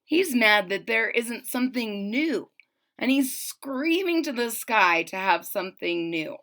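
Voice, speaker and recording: A woman's voice: fairly high pitch, animated, a little slow, bright, forceful tone. A woman reading a children's picture book aloud expressively, with explanatory asides as a storyteller.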